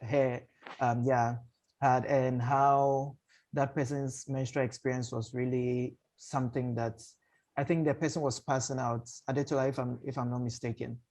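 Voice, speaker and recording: A man's voice: mid-range voice, little pitch movement, normal speed, darkish tone, poor audio. Casual male panel moderator. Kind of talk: casual chat, moderating an online discussion. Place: online video call.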